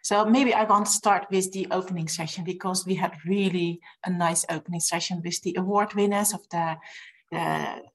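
A woman's voice: fairly low pitch, fairly even intonation, moderate pace, rough recording. A middle-aged woman, a guest in a casual panel on a video call, searching for words in English. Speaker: podcast guest, nurse.

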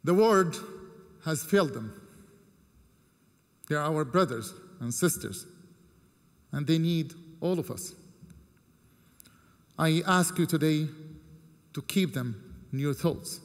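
A man in his thirties from Jordan giving a formal prepared speech from a podium, measured and earnest, with deliberate pauses between phrases. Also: on the high side, expressive. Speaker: keynote speaker. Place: large ornate hall with seated audience. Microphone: podium microphone. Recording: long hall reverb.

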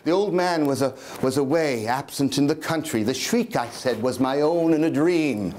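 High-pitched, halting mad murderer, acted by a man. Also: darkish tone, a little hiss or hum, close to an everyday voice. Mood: uneasy, confiding. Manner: halting, repeating words, agitated, declamatory. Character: guilty, mad murderer unraveling as he confesses. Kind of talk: theatrical dramatic reading of gothic horror. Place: small indoor room in an old house, live audience.

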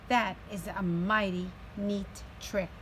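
Slow, skeptical storybook farm animal read by a woman. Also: medium pitch, steady tone, fairly bright tone. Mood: dry, judging. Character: skeptical farm animals privately judging a duck. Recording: some background noise, outdoor ambience.

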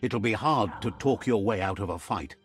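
A man narrating an animal fable in a video game as a storyteller, with a measured, expressive delivery. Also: on the low side, close to an everyday voice. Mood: measured storytelling. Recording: music behind the voice. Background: background music.

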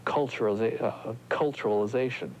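Halting, gravelly middle-aged man. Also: medium pitch, darkish tone, slight background noise. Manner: halting, thoughtful, many restarts. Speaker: opera singer. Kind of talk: reflective interview talk.

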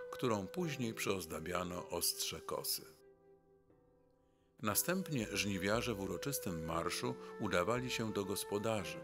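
Male documentary narrator, deep register, formal scripted storytelling, music underneath.

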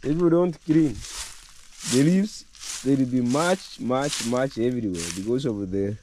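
Hoarse Kenyan man vlogging outdoors. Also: unhurried, darkish tone, slight background noise, rough recording. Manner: chatty, repeats words, bursts up in pitch when stressing points. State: hoarse from a cold. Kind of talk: casual vlog to camera. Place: outdoors in a rural village. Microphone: camera held at arm's length.

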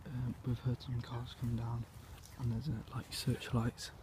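A man's voice: medium pitch, monotone, a little slow. A teenage boy vlogging a camping trip outdoors into a handheld phone, rambling with lots of fillers. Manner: rambling, filler-heavy, hushed at night. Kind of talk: casual camping vlog. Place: outdoors, woods by a lake. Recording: phone outdoors with wind noise.

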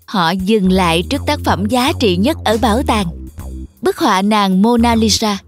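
A woman storyteller reading a scripted narration for a children's animated tale. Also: medium pitch, moderate pace, faint music behind.

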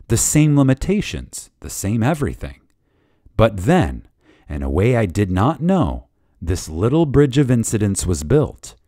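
Male lecture reader, low mellow voice, lively intonation, reverent, deliberate pauses, emphatic. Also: dry recording, clean studio sound. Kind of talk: dramatic reading of a spiritual lecture.

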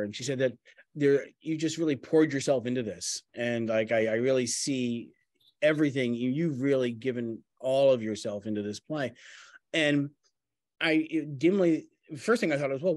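A middle-aged man, a playwright and actor, reflecting casually in a halting, self-deprecating way on a video call. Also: mid-range voice, dry recording. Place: home room on a video call. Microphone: video call microphone.

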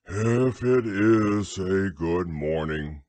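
A man voicing a gloomy, sarcastic old donkey in a storybook reading, with a low, slow, drawn-out voice. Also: sing-song, darkish tone, no echo, exaggerated voice. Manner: morose, dryly sarcastic.

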